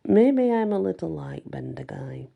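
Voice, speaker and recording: A woman voicing a laconic, protective teenage werewolf boyfriend with a deep rumbling voice and slow, understated replies. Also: wildly swooping pitch, vocal fry, mellow, heavily acted. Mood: amused, conceding.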